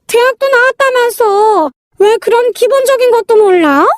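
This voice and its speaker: A woman playing a rude, bossy, quick-tempered elder sister-in-law in a narrated family drama, scolding and talking down bluntly. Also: very high-pitched, animated, no echo, clean studio sound, pitched above the performer's own voice. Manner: scolding, talks down in blunt informal speech.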